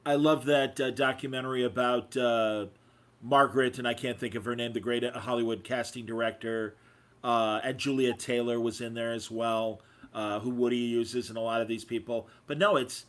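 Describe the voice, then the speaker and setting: A man hosting a casual interview, conversational and a bit halting as he thinks aloud. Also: medium pitch, fairly even intonation, fairly bright tone. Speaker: podcast host.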